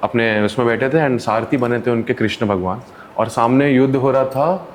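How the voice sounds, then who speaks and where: A man's voice: mid-range voice, normal speed. A man, an interview guest who works as a counsellor, telling stories in casual conversation and acting out the people in them. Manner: animated, acts out quoted people with voices. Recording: faint background noise.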